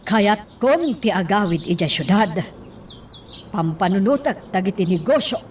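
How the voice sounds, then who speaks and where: A woman's voice: mid-range voice, lively intonation, a little slow, natural voice. Impatient scheming woman, slightly distant played by an actress. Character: impatient, money-minded woman accomplice. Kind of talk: Ilocano radio drama acting. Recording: distant mic, faint background noise.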